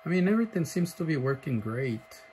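A man casually showing off a radio he is selling, talking haltingly with many fillers and breaking into loud clipped mic tests. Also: a little high, sing-song, a little hiss or hum. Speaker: seller demonstrating a radio. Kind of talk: casual off-the-cuff product demo. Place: indoor workshop bench.

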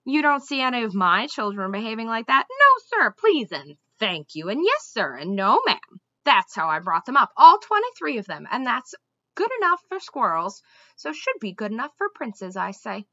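A woman's voice: fairly high pitch, wildly swooping pitch, moderate pace, sharp tone, no room sound, dull audio, exaggerated voice. Woman putting on a bossy, indignant squirrel mother. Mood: indignant, scolding. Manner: indignant, lecturing, emphatic. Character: bossy, scolding female squirrel mother, huffy and opinionated.

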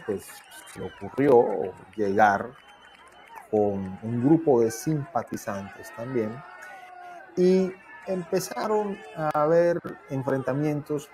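A man's voice: medium pitch, mellow. A man in his fifties giving informal, disapproving news commentary to camera from an indoor room. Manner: disapproving, colloquial. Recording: crowd noise behind voice.

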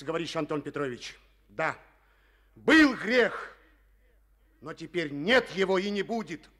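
A man's voice: a little high, a bit bright, exaggerated voice. A folksy old farm chairman. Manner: folksy rustic speech, heartfelt. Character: stubborn old peasant collective farm chairman. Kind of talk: 1950s Soviet radio drama acting.

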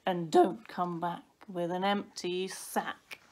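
A woman voicing a grumpy, stern father wolf in a storybook, growling out loud commands. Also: fairly low pitch, flat delivery, slow. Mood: gruff, cross. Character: grumpy, stern adult male wolf dad. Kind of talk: storybook character voice.

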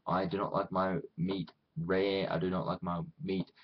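Very deep Australian man, casual vlog. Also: flat delivery, moderate pace, lo-fi sound. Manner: rambling, self-deprecating, often acting out imagined quotes. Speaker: vlogger. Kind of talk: casual chat to camera.

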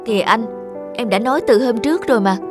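A woman playing a modest, self-effacing young wife in a cartoon, gentle and hesitant. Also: fairly high pitch, fast talker, lightly acted. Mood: quietly resolute. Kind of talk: cartoon dialogue. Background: music.

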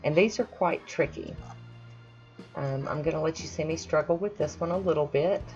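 A woman's voice: low-pitched, normal speed, a little mellow, dull audio, faint music behind. A woman chatting casually over her own nail painting, self-deprecating with friendly asides. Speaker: nail art vlogger. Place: home manicure station, voice-over.

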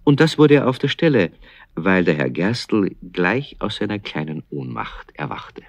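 A man's voice: sits low, big pitch swings, a little slow, vocal fry, no echo. A man narrating a scripted children's story in a wry, confiding way.

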